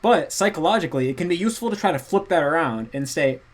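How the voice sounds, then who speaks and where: A man's voice: fairly high pitch, expressive, fast talker. A man, a guest in a casual chat, earnestly explaining at length. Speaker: guest language learner.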